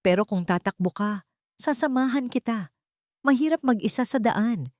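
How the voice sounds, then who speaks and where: A woman narrator with a Filipino accent voicing a gentle, patient young woman with a calm, soft manner. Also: a little high, moderate pace, no echo, clean studio sound, pitched above the performer's own voice, lightly acted.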